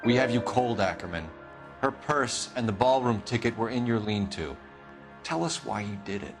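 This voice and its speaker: Male actor as 1940s American detective for a crime game: by-the-book, clipped, formal, animated pitch, music underneath. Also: moderate pace, lightly acted. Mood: firm, accusing. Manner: firm, clipped, formal questioning. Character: earnest, by-the-book 1940s police detective, adult man. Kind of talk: video game cutscene acting, police interrogation.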